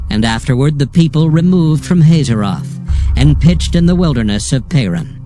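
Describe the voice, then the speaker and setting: A man narrating scripture from a script in a measured, solemn manner. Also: medium pitch, dark tone.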